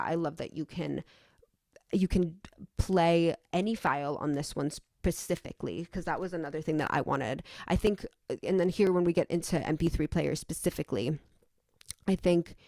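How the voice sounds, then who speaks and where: A woman's voice: low voice, vocal fry, darkish tone, no room sound, clean studio sound. A young adult woman vlogging in a casual, rambling way, opinionated and emphatic, with some swearing.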